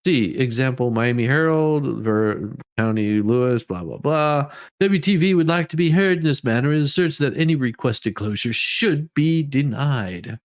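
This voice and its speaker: A man's voice: medium pitch, animated, normal speed, few pauses. Guy on a livestream reading stuff out and riffing on it, regular mid voice, kinda rambly, repeats himself. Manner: informal, rambling asides, repeats words. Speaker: livestream host. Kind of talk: dramatic reading of legal documents with casual commentary.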